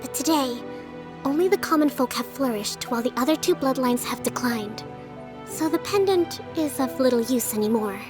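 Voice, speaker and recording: A woman voicing a composed, gracious young priestess leader in a video game, speaking in a measured, formal, explanatory way. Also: high voice, expressive, over music. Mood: calm, explaining. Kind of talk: dramatic video game acting.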